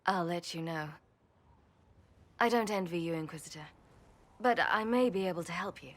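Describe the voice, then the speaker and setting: An actress playing a weary, modest champion in a fantasy video game, measured and reflective. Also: female voice, on the low side, close to an everyday voice. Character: weary, modest female champion, sober and helpful. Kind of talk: video game dialogue acting.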